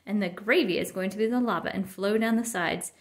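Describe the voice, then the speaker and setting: A woman's voice: mid-range voice, fairly quick, lightly acted. A woman voicing a chatty, matter-of-fact little girl bear cub in a children's storybook. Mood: explaining.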